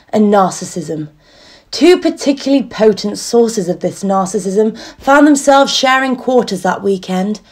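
A woman playing a wry, observant detective narrating a radio crime drama in measured tones. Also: a little high, darkish tone.

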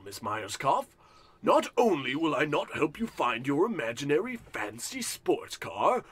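An actor playing a pompous, cruel, greedy rich boss, bombastic and scornful, in a parody of old radio drama. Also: male voice, sits high, fairly bright tone, breathy edge, full character voice. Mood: irritated, haughty.

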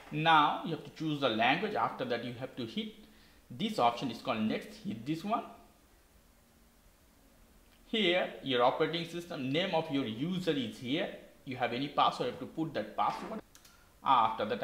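A man's voice: medium pitch, a bit bright, reverberant. A man hosting a tech tutorial, walking through a laptop reset step by step in simple, non-native English. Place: indoor desk.

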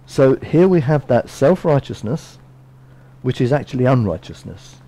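A middle-aged man preaches in a measured, earnest way, stopping to read scripture aloud from a book. His voice is mellow and warm, at an ordinary mid pitch. He stands at a lectern in a small bright room, recorded by a camera a few metres off. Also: lively intonation, rough recording. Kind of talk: sermon with scripture readings.

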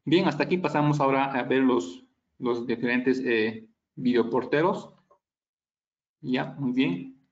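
A man giving a technical slide presentation as a product trainer, halting and explanatory, checking for agreement with tag questions. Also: mid-range voice, lo-fi sound.

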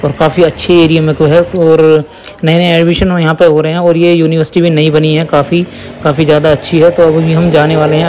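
A man's voice: a little high, darkish tone, poor audio. A young man from India giving a chatty running commentary while riding a motorbike through city traffic. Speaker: motovlogger. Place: on a motorbike on city roads outdoors. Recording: faint traffic noise behind. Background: traffic and engine sounds.

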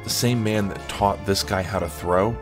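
A man's voice: low-pitched, normal speed, natural voice. A man playing a world-weary American hero, narrating a comic caper in a dry, sardonic, terse way. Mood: brooding. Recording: music behind the voice.

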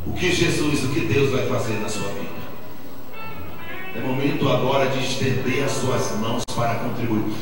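A man in his thirties from Brazil, an apostle preaching a fervent Pentecostal sermon into a handheld mic in a large church hall. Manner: fervent, emphatic preaching, pitch surging on key words. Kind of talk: Pentecostal sermon with offering appeal. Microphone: handheld microphone. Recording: echoey church hall, distant mic, music behind.

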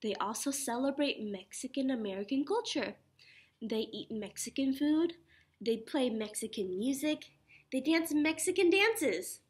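A young woman teacher reading a children's picture book aloud in an animated, instructive way. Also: high-pitched, measured pace. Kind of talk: children's picture-book read-aloud with sing-song refrains. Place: small indoor classroom.

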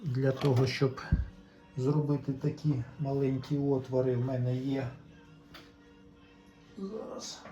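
A man's voice: mid-range voice, takes it slowly, long pauses, dark tone, muffled recording, soft background music. A man talks viewers through a hands-on electronics repair, casually explaining each step without a script. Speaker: electronics repair hobbyist presenting his own video.

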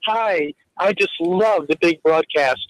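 Male phone-in caller, high voice, casual fan talk, dry sound. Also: slow, no echo, rough recording.

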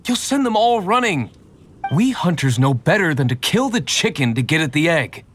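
A man's voice: fairly high pitch, big pitch swings, normal speed, fairly bright tone, soft background music. A man playing a stern, concerned young hunter in a video game, earnest and scolding. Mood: alarmed, scolding. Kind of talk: video game character acting.

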